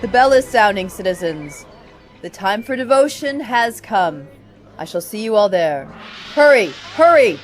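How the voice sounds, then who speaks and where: A woman's voice: medium pitch, sing-song, unhurried, soft background music. A woman acting in an audio drama as a stern, pitiless zealot leader, solemn and declamatory like a ceremony. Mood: brisk, commanding.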